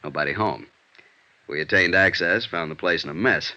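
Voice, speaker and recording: An American actor playing a gruff, matter-of-fact police detective sergeant in a vintage radio drama, reporting in terse deadpan. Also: male voice, sits low, normal speed. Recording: muffled vintage radio recording.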